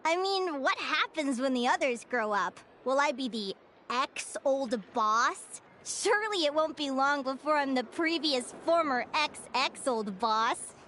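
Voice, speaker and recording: A woman's voice: sits high, expressive, a little slow, pushed and bright, slight background noise, exaggerated voice. A woman playing an earnest, bookish young fae naturalist who tells her story in an articulate, thoughtful way, as video game dialogue. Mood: playful.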